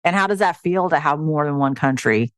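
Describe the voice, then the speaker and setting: A woman's voice: low-pitched, expressive, a little fast, no echo, studio quality. A woman from the United States hosting a podcast, putting questions to her guest in a conversational way. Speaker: podcast host, interviewer. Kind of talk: conversational interviewing.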